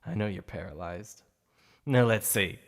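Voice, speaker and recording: A man's voice: fairly low pitch, a little slow. A man performing a boastful, playful supervillain for an ASMR roleplay, with a secret obsession behind the show. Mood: gloating showman. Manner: showy, mocking, playful.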